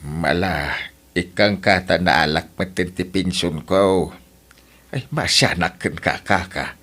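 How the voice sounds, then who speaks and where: A man acts out a plain-spoken older relative in an audio drama. His voice is very low, and he sounds sympathetic, sighing with pity. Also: slow, a bit bright, full character voice. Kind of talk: Ilocano audio drama acting.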